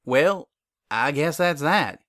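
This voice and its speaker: A man's voice: on the high side, animated, slow pace, a bit bright, no echo, clean studio sound, voice pushed up. A man voicing a stubborn, flustered country mare with a folksy, plain-spoken manner in a story reading. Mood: relieved. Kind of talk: dramatic fan fiction reading.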